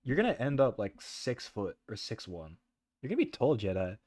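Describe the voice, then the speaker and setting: A young man with a soft voice rambles casually to his stream audience, his pitch swinging up and down as he talks. He leans on "like" and "bro" and keeps breaking into laughter. The sound is dry and close, with no room around it. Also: wildly swooping pitch, normal speed, no echo. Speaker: livestreamer. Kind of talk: casual chat with stream viewers.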